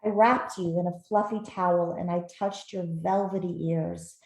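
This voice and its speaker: A woman reading a picture book aloud to children in a gentle, expressive storytelling manner. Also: on the low side, moderate pace, creaky. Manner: gentle, expressive, with soft asides to listeners. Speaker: author reading her own picture book. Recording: roomy, echoey sound.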